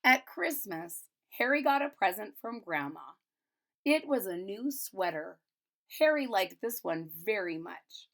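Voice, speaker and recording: A woman reads a children's picture book aloud expressively, pausing to explain and retell it in simple casual words. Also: mid-range voice, big pitch swings, fairly bright tone. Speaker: storybook reader.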